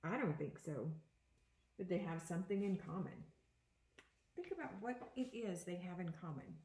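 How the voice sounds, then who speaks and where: A woman teacher giving an interactive read-aloud for young children, reading deliberately and pausing to put questions to her listeners. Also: fairly low pitch, lively intonation, darkish tone, reverberant. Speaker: second grade teacher.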